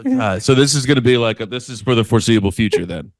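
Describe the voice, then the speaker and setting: A man's voice: mid-range voice, quick pace, no echo. A man co-hosting a comedy podcast, wry and mock-indignant as he jokes with his friend. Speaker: podcast co-host. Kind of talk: casual comedic banter.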